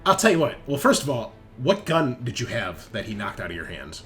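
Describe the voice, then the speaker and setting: A man's voice: mid-range voice, very animated, fairly quick, a bit bright, soft background music. A man narrating a tabletop roleplaying game as game master, casual and conversational, with some swearing. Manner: informal, profane, conversational.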